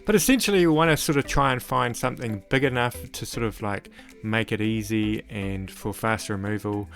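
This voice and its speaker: A man with a croaky voice casually narrating a woodcarving tutorial in voice-over, rambling with lots of "sort of, like". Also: lowish voice, normal speed, fairly bright tone, soft background music. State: croaky voice after illness. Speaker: woodcarving channel host.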